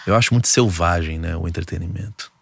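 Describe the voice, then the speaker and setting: A man's voice: low voice, quick pace, vocal fry, no room sound. A man hosting a casual interview, asking questions and prompting his guest with affirmations. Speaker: interview host.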